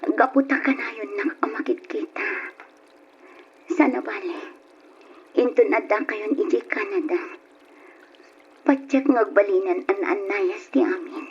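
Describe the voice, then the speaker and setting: A woman's voice: on the high side, lively intonation, unhurried, long pauses. Pleading young Ilocano woman, muffled recording. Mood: tearful, earnest. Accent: Filipino, Ilocano. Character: pleading young woman torn by forbidden love, self-sacrificing. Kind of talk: Ilocano radio drama acting. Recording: muffled, noisy old radio drama recording.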